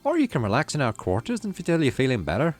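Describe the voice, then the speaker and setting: A man voicing a kind, reassuring father in a video game, speaking gently and affectionately. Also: mid-range voice, huge pitch swings, fast, soft background music, pitched above the performer's own voice. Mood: reassuring, fond. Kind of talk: visual novel character voice.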